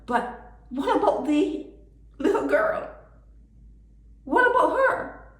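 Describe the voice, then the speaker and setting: A woman giving impassioned solo commentary, firing indignant rhetorical questions that leap up sharply in pitch. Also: big pitch swings, slow pace, fairly bright tone, echoey room.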